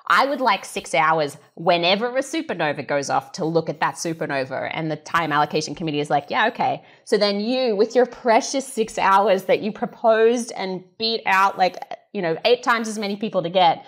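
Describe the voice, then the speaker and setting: Woman, mid-range voice, astronomer guest, informal, jokey, animated storytelling. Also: mid-range voice, normal speed. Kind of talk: casual science chat.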